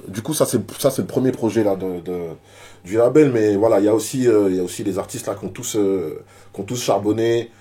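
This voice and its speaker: Man, low warm voice, casual interview talk, stumbles and repeats words. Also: fairly quick. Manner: relaxed, repeats words often while searching. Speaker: rapper being interviewed. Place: media office.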